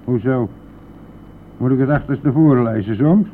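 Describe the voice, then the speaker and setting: An actor playing a cheeky, nosy, grumbling village bus driver in a radio play, with a teasing, blustering manner. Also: male voice, medium pitch, moderate pace, lightly acted. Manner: teasing, blustering, chuckling at own jokes. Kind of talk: Dutch radio play acting. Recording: tinny narrow-band old radio recording, vehicle noise.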